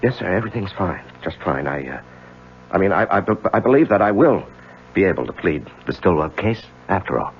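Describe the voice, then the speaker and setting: An actor playing a troubled, anxious married lawyer in a radio mystery drama, speaking in a halting, earnest, dramatic way. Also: male voice, sits low, very animated. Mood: anxious, deferential. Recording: muffled, hissy, humming old radio tape.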